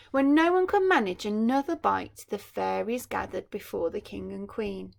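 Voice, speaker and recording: A woman's voice: medium pitch, gravelly, husky. A woman primary school teacher reading a story expressively, with explanatory asides for young children.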